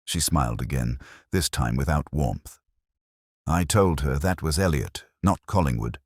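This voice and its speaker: Really low male voice narrating a detective story, measured and reflective, lively intonation, dry close sound. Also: no echo. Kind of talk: scripted first-person detective story narration.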